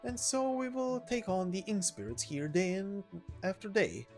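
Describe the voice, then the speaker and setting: A woman's voice: medium pitch, very animated, normal speed, darkish tone, soft background music, natural voice. A woman voicing a courteous, doubtful companion in a game story, speaking politely and formally in wordy phrases.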